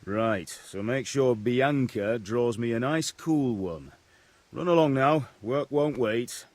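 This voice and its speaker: A man's voice: medium pitch, slow pace, close to an everyday voice. An actor playing a blunt, teasing middle-aged village blacksmith who orders his son about, in a game cutscene. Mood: gruff teasing. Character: gruff, joking middle-aged blacksmith father. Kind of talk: video game cutscene acting.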